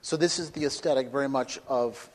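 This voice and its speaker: A man giving a public lecture and taking questions, speaking emphatically and building to loud, forceful peaks. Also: medium pitch, fairly quick. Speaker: speaker at a talk. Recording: reverberant hall.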